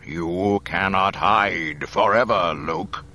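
A commanding, menacing dark lord. The voice is very low and unhurried, with a hard, forceful edge. Every phrase is weighed and ominous, like an older tyrant who expects obedience. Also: male voice, a little hiss or hum, heavily acted. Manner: slow, ominous, deliberate delivery. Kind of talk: dramatic audiobook character voice.

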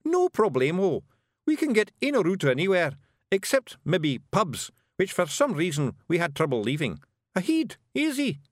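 Brief: tiny Scottish fairy brawler, high, brassy and loud, boisterous, swinging all over. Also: male voice, huge pitch swings, moderate pace, dry recording, studio quality, full character voice. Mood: cocky. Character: tiny brash male fairy warrior chief.

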